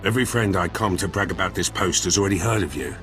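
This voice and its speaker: Low-voiced wry military officer. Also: male voice, fast talker, fairly bright tone, soft background music. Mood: wry, cocky. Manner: clipped, wry, matter-of-fact. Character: cynical, opportunistic male Imperial military officer. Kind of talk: video game acting.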